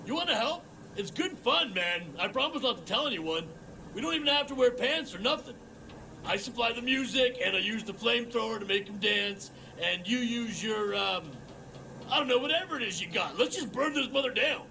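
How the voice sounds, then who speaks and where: A man's voice: very high-pitched, lively intonation, a little fast, bright, forceful tone, a little hiss or hum, heavily acted, low-quality recording. A man playing a goofy, reckless pyromaniac slacker in a video game, rambling on in chummy slang. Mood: gleeful, rambling. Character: goofy, reckless male pyromaniac redneck slacker with grandiose talk. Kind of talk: comic game cutscene monologue.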